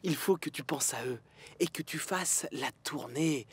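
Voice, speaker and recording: A man playing a nervous, kindly little steam engine in a children's cartoon dub, with a husky voice and a cautious, hesitant way. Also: fairly high pitch, measured pace.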